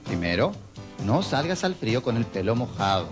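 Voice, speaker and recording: A man's voice: lowish voice, big pitch swings, normal speed, loud music behind the voice. A man with an Ecuadorian accent hosting a children's TV show, friendly and coaxing as he talks to kids. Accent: Ecuadorian Spanish. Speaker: children's TV host. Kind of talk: children's TV presenting.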